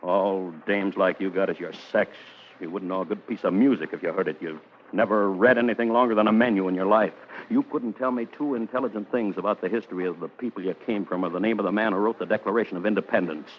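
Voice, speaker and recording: Actor as low-voiced, bitter police lieutenant, old radio. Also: male voice, fast, lightly acted. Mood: contemptuous. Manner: blunt, scornful, hard-boiled. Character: bitter, gruff, contemptuous demoted police lieutenant. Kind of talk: old-time radio crime drama acting. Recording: dull, noisy old radio recording.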